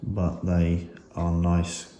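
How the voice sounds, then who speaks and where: A man with a very low voice talks through a hands-on gear demonstration in a casual, unscripted way. He thinks aloud a little hesitantly. The recording is noticeably echoey, with faint noise underneath. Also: monotone, very slow, mellow. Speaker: motorcycle gear reviewer and host. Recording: roomy echo with faint noise.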